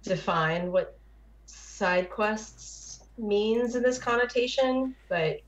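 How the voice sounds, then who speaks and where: A woman's voice: fairly high pitch, takes it slowly, dull audio. A woman hosting a live talk show podcast, opening the show and introducing the panel.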